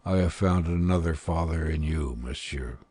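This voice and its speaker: A man's voice: a bass voice, moderate pace, mellow, husky, no echo. A man voicing an earnest, sensitive young man in an audiobook, speaking with restraint. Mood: moved, manly. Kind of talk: audiobook character dialogue.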